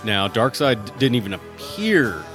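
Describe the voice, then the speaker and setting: A man's voice: mid-range voice, very animated, normal speed, a bit bright. A man hosting a podcast in casual solo chat, jokey and informal in his delivery. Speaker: host. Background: music playing under the voice.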